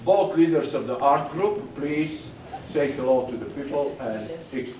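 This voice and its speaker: A man opening an exhibition event, halting and searching for words. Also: medium pitch, lively intonation, mellow. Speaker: event announcer. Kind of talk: opening announcement. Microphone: far, room mic. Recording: distant echoey voice, noisy crowd space.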